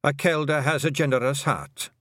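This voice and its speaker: A man's voice: a bit bright, no room sound, clean studio sound. A man voicing a tiny, dying, stubborn old fairy clan mother, in a storybook reading. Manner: broad brogue, raised pitch, slow weary phrasing. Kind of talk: audiobook character voice.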